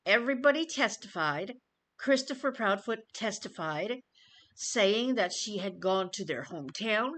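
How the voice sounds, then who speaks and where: A woman YouTube commentator giving an informal news update to viewers, phrasing things deliberately and emphatically with pauses. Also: mid-range voice, animated, fairly bright tone, poor audio.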